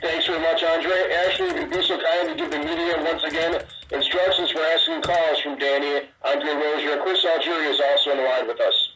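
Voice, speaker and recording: A man's voice: on the high side, lively intonation, brisk, hardly any pauses, roomy sound, recorded from a distance. A man moderating a press conference call in a polite, businesslike way over a noisy phone line.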